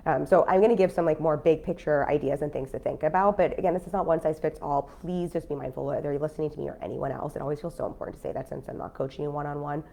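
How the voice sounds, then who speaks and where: A business coach with a cold, talking casually on a live stream in a nasal, stuffy voice with lots of fillers. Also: female voice, sits low, steady tone, fast talker, vocal fry, few pauses, dark tone. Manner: chatty, frank, many fillers. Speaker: business coach and podcast host.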